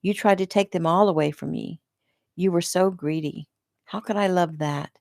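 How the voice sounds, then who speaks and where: A woman's voice: lowish voice, normal speed, darkish tone, no room sound, clean studio sound, close to an everyday voice. A woman reading the part of a cruel, possessive middle-aged mother with a contemptuous, cutting manner. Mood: scornful.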